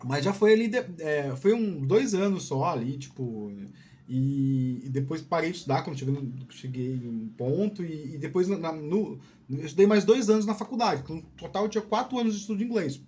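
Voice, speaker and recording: A man's voice: fairly high pitch, fairly quick, mellow. A man giving casual career advice as a video host, informal and digressive, often repeating words and checking in with the listener. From Piauí, Brazil.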